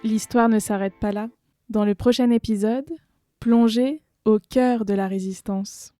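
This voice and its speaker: A woman announces credits and episode titles from a script. Her voice is fairly high but mellow. Accordion music plays underneath. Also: steady tone, unhurried, dry recording, studio quality.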